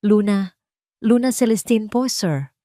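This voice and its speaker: A woman's voice: medium pitch, lively intonation, unhurried, mellow, no room sound, studio quality, pitched above the performer's own voice, natural voice. A woman voicing a nervous female executive assistant in an audio drama, respectful and hesitant. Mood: nervous, deferential.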